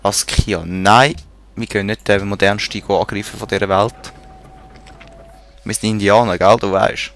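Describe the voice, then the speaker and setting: Gravelly Swiss German man gaming. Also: fairly low pitch, steady tone, measured pace, fairly bright tone. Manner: mutters to himself and talks to game units. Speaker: let's play gamer. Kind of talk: casual gameplay commentary.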